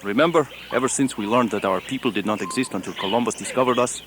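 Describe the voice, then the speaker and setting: A man playing a brooding, deliberate Colombian cartel boss in a satirical radio play. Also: medium pitch, noisy background, close to an everyday voice. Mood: brooding, solemn.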